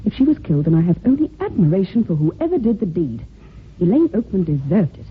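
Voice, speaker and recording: An actress playing a prim, defensive, devoted secretary who speaks formally and emphatically in an old-time radio drama. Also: female voice, mid-range voice, sing-song, brisk, husky. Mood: bitter, frank. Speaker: actor in a radio mystery. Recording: thin, phone-like old radio recording.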